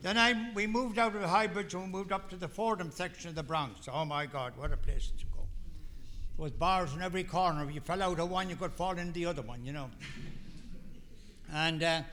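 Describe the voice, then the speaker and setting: Bright-voiced older Irish man telling his story. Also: high-pitched, fairly quick, slight background noise. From Ireland. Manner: folksy storytelling with asides. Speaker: recovery meeting speaker. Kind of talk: personal testimony talk.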